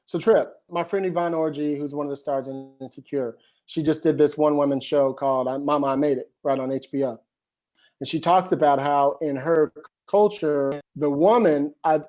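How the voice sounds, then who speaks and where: Guy talking excitedly in an interview, kinda high voice but warm tone. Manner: animated, keeps saying "you know what I mean". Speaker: screenwriter guest. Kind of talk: casual conversation.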